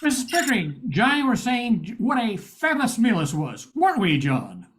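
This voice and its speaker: An older man playing a rough-edged but good-hearted private eye in an old-time radio drama. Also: very high-pitched, huge pitch swings, normal speed, a bit bright, exaggerated voice. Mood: hearty. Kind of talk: 1940s radio acting.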